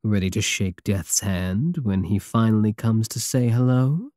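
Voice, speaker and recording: A man's voice: on the low side, expressive, a little slow, dark tone, no echo, studio quality. A man playing a swaggering, obsessive space pirate king in an intimate ASMR roleplay, flirtatious and menacing with a teasing, theatrical drawl. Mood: rallying, boastful. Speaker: audio roleplay voice actor.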